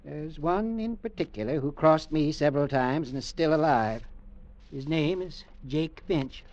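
An actor playing a cold, calculating older uncle, dry and condescending, in a mid-century radio drama. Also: male voice, a little high, a little slow, darkish tone, lightly acted. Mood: cool, calculating. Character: cold, calculating older uncle, a former South Seas trader. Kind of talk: 1940s radio drama acting. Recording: muffled old radio recording.

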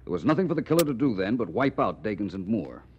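An actor playing a suave, drily witty gentleman detective, polished and courteous, in 1940s radio style. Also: lowish voice, very animated, fast. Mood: measured, probing. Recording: muffled, hissy 1940s radio recording.

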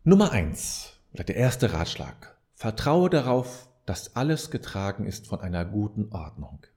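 A man's voice: on the low side, big pitch swings, a little slow, mellow. A man speaking in a reflective, explanatory podcast monologue, searching for his words and correcting himself as he addresses the listener. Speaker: podcast host.

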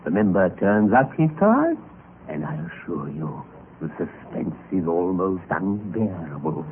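An actor playing a jaded, infirm, cowardly gentleman who savours fear, speaking with urbane, precious relish in an old-time radio drama. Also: sits low, very animated, a little slow, phone-quality sound. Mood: savouring suspense. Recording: band-limited vintage radio recording with hiss.

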